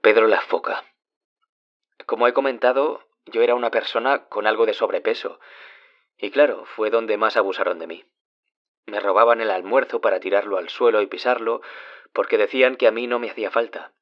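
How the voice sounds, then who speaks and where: Actor as low-voiced, wounded young Spanish man. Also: fairly even intonation, brisk, highs cut off, natural voice. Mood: haunted. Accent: Spanish, from Spain. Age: young adult. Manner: confessional, dramatic storytelling. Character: wounded young man, former bullying victim, anguished, confiding. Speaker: interviewee telling his story. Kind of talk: scripted audio-drama monologue in interview form.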